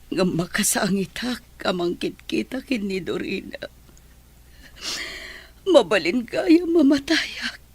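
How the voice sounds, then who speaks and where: A woman with a Filipino accent playing a humble, guilt-ridden, injured nanny in an audio drama, speaking in a halting, plaintive way. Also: a little high, husky, exaggerated voice. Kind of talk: Ilocano audio drama acting.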